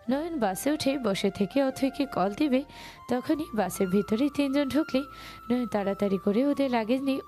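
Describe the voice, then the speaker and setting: A woman's voice: medium pitch, mellow. A woman reading scripted narration for a romantic audio story.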